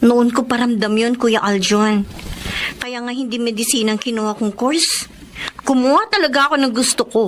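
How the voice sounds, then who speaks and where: Actress as high-voiced young Filipina sister. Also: female voice, moderate pace, fairly bright tone, a little hiss or hum, close to an everyday voice. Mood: frank, resentful. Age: young adult. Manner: frank, chatty. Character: affectionate, outspoken young daughter and little sister. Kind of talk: Filipino radio drama acting.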